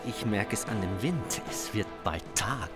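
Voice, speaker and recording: An actor playing a weary warrior keeping night watch in a radio drama, speaking quietly. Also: male voice, on the low side, animated, unhurried. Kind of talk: German radio play acting. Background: loud music.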